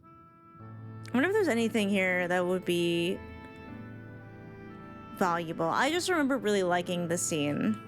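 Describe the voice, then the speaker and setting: A woman's voice: fairly low pitch, sing-song, unhurried, pauses often. A woman streamer giving casual live reaction commentary on a game, chatty and musing aloud. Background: game music.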